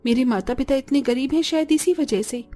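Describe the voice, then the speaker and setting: A woman's voice: high-pitched, a little fast, dark tone, soft background music, close to an everyday voice. A woman voicing a poor little girl in a cartoon story, innocent, wistful and plaintive. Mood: sad, wistful.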